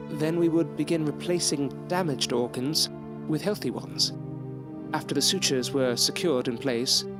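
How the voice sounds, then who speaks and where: An actor playing an earnest, devoted young medical assistant, speaking formally and reverently in a Gothic audio drama. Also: male voice, fairly high pitch, lightly acted. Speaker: actor narrating and playing a character.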